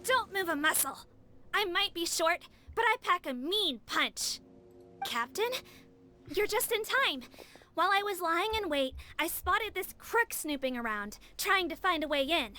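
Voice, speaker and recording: A woman's voice: very high-pitched, animated, unhurried, bright, forceful tone, a little hiss or hum, heavily acted. A woman voicing a short, plucky, feisty guard creature in a video game.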